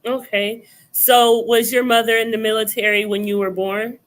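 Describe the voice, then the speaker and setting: A woman's voice: a little high, level tone, normal speed, a bit bright. A woman hosting a conversational interview, putting questions to a guest. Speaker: interviewer, host.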